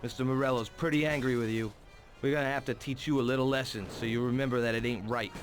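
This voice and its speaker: An actor playing a taunting, threatening street thug in a video game, speaking loudly. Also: male voice, mid-range voice, steady tone, fast talker, faint music behind, lightly acted. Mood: menacing, gloating. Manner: loud, threatening. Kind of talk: dramatic video game cutscene acting.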